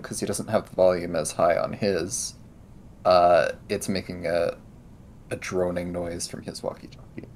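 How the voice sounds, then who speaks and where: A man narrating and role-playing his character in a tabletop role-playing game. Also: a little mellow. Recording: low rumble and background noise.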